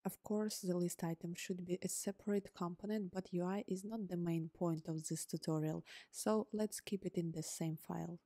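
A woman whose first language is Ukrainian, calmly narrating a scripted coding tutorial step by step as host. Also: fairly low pitch, moderate pace, hardly any pauses, dry recording, studio quality. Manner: calm, step-by-step explaining. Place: voice-over on screen recording.

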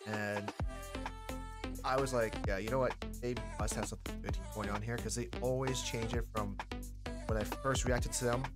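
A man's voice: mid-range voice, steady tone, moderate pace. Man, forties, reaction host, casual slangy commentary, stop-and-start delivery, enthusiastic, music behind. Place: small indoor streaming room. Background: pop music.

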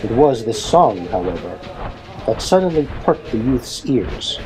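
A man reading a fan-fiction story aloud as narrator, in a dramatic, deliberate storytelling manner. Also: medium pitch, sing-song, rough recording.

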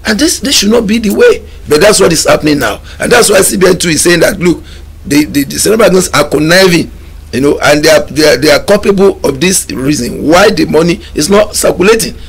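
A man's voice: sits high, creaky, slightly rough. A middle-aged man with a Nigerian accent, a guest on a studio talk show, talking emphatically and repeating words as he gathers his thoughts. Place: TV studio lounge set.

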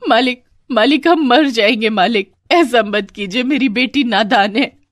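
A woman voicing a poor, tearful village housemaid and mother who pleads humbly in an animated story. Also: fairly high pitch, a little slow, no echo, studio quality, close to an everyday voice. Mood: desperate.

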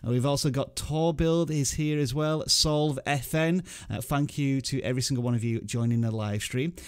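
A man's voice: mid-range voice, normal speed, clean tone. A man in his thirties with an English accent, hosting a casual livestream from a small home studio and cheerfully greeting viewers in the chat. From England. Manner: upbeat, reading out chat names and greeting viewers. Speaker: livestream host. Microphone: studio microphone on arm, headphones.

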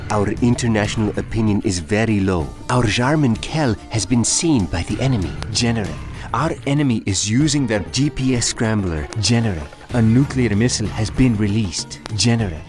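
A man voicing a measured, dutiful military battlefield advisor in scripted announcements for a strategy video game. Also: fairly low pitch, lively intonation, darkish tone, natural voice. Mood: alert, formal. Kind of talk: scripted game tutorial and status alerts. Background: music.

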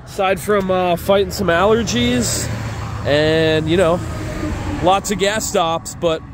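A man's voice: high-pitched, steady tone, takes it slowly, noisy background. Easygoing man vlogging outdoors by the road. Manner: easygoing, rambling, frequent "uh" and praise asides. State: stuffed up from allergies. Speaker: motorcycle travel vlogger. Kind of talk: casual on-the-road narration. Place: outdoors by roadsides and a riverbank. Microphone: action camera or phone at arm's length, some helmet-mounted.

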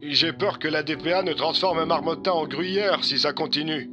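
A man's voice: on the high side, normal speed, music in the background, natural voice. Man as a worried police captain, muffled. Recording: muffled lo-fi phone-style sound.

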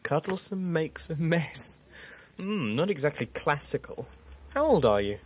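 A man's voice: on the high side, big pitch swings, unhurried, slight background noise. A man playing a time traveller's sceptical, compassionate companion in an audio sci-fi drama, questioning and dry. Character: the Doctor's sceptical, compassionate male companion, dryly scolding.

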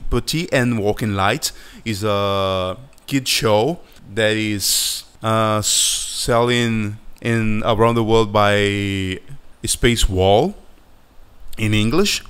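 A man with a Brazilian Portuguese accent, a Portuguese native speaker talking casually to his viewers as an animator hosting his channel, unhurried and searching for words. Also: medium pitch, fairly bright tone, clear, resonant tone. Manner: slow and halting, searching for words, friendly. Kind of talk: casual vlog chat over a screen recording.

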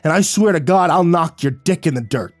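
A man voicing a bitter, boozy old male war veteran who talks in a gruff, low, sneering way over faint music. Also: steady tone, moderate pace, a little mellow, no echo. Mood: menacing.

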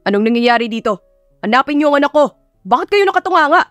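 A woman's voice: sits high, expressive, fast, a bit bright, no room sound, faint music behind, pitched above the performer's own voice. Woman as a stern, grumpy male CEO. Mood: angry. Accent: Filipino. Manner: curt, stern. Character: grumpy, commanding, teasing male company CEO, a father.

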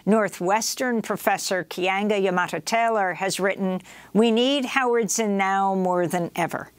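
A woman news host reads a broadcast script in a measured, formal way. Her voice sits in a middle range, and the sound is dry and close. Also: mid-range voice, clean tone, no echo.

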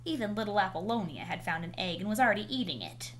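A woman reading a children's picture book aloud with animated, dramatic emphasis and drawn-out words. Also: medium pitch. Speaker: storybook reader. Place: indoors, small room at home. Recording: noisy home video recording.

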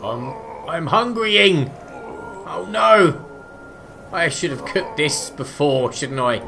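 A man's voice: fairly high pitch, animated, slow. Chatty man narrating gameplay. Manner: chatty, jokey, talks to himself and the animals. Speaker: let's play gamer. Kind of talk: casual gameplay commentary. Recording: game sounds and noise behind voice.

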